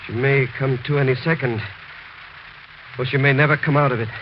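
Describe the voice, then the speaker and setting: An actor playing a sober, rational doctor with a matter-of-fact, grave manner in a 1940s radio drama. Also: male voice, medium pitch, fairly even intonation, brisk, close to an everyday voice. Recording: muffled, very noisy 1940s radio recording.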